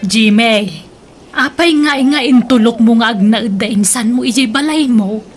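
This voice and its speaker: A woman's voice: on the high side, measured pace, soft background music, close to an everyday voice. A woman playing a suspicious, meddling aunt in an Ilocano radio drama, admonishing and questioning in an Ilocano Filipino accent. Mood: disapproving.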